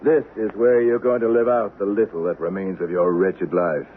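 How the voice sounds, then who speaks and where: Old Western avenger played by a man, deep and scornful, deliberate radio-play delivery, dull old recording. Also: level tone, close to an everyday voice. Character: vengeful, bitter wealthy old Westerner, cold and domineering. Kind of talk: 1970s radio drama acting. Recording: dull, noisy vintage radio drama recording.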